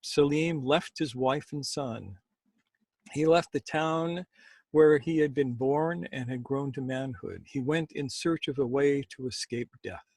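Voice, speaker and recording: A man who co-hosts and tells stories, speaking in an expressive, measured way with dramatic pauses. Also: medium pitch, husky, dry recording. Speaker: storyteller and co-host. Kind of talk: expressive story reading.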